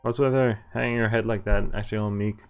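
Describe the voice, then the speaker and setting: A man's voice: on the low side, fairly even intonation, fast talker, clear, resonant tone. A man streaming a visual novel, reading the text aloud and adding casual commentary. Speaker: game streamer. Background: faint game music.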